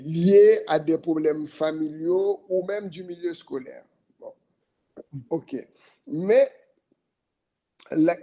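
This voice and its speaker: A middle-aged man, speaking as a jury member at a thesis defense in a deliberate, questioning, professorial way. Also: on the high side, dark tone. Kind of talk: academic remarks at a thesis defense.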